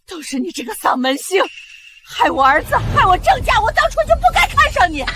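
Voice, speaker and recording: An actress playing a spiteful, status-hungry elderly mother-in-law in a historical drama, scolding and wailing melodramatically in a shrill voice. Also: very animated, fairly quick. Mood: furious. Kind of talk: historical short-drama acting. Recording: prominent music behind.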